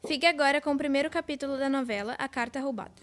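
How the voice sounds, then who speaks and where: A Brazilian schoolgirl presents an old-time radio programme from a script. Her voice is high and young. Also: racing, over loud music. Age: child, about twelve.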